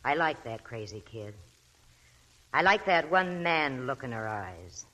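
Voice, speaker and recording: A man's voice: medium pitch, sing-song, a little slow, pushed and bright, full character voice, poor audio. An actor playing a worldly, fatherly nightclub owner in a 1940s radio drama. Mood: knowing.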